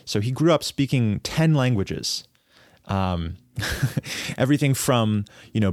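Low-voiced man explaining history, halting. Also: no echo, studio quality. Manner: thoughtful, halting, many fillers and restarts. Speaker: podcast co-host. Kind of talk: casual podcast discussion, explaining history at length.

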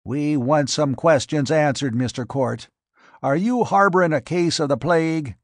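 A man's voice: a little high, moderate pace, dry recording, studio quality, pitched above the performer's own voice. A man doing a grizzled old rural farmer. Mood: stubborn. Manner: rural drawl, folksy grammar. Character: grizzled old male farmer spokesman, stubborn.

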